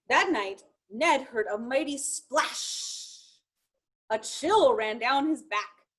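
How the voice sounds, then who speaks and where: A woman reading a children's picture book aloud expressively, in measured rhyme with chatty asides. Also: high-pitched. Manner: expressive, measured rhyming delivery. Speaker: storytime reader.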